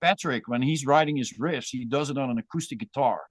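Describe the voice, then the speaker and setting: Dutch-accented male guest, casual and reflective, mid pitch, dry recording. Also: brisk, dry recording. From Netherlands. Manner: thoughtful, rambling with restarts. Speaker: guest musician. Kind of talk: casual interview chat.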